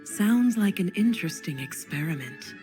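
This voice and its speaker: A woman plays a scholarly witch in a video game, fairly low in pitch, with music underneath. She sounds cool, curious and composed, choosing each word deliberately. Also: animated, lightly acted. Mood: cool curiosity.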